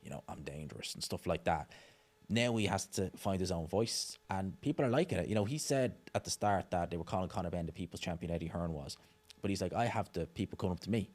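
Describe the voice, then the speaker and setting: Male guest, 30s, low voice, emphatic studio chat. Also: fast, a little mellow, husky. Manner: emphatic, mimics people he talks about. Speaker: podcast guest. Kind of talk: casual boxing chat. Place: studio set with dark curtains. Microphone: desk mic on boom arm.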